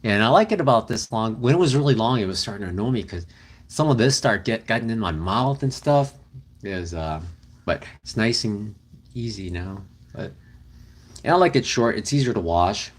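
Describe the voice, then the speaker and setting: Brief: young male streamer, low-ish voice, relaxed rambling chat with fillers. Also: normal speed, husky. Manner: rambling, easygoing, many fillers. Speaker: livestream host. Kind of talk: casual livestream chat reading comments. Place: car camping, indoors with partner.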